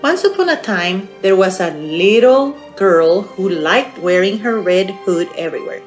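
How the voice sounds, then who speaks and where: A woman narrating a storytime for young children as a library storyteller, in a simple, expressive manner. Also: medium pitch, measured pace, faint music behind. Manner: expressive, simple, asking the listeners questions.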